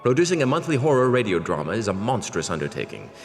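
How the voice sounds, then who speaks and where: A man delivers theatrical horror-show announcements in a deep voice with sweeping inflection. He sounds grandiose and ominous, set in a long reverb. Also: big pitch swings, quick pace. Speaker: podcast announcer. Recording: long cavernous reverb over music.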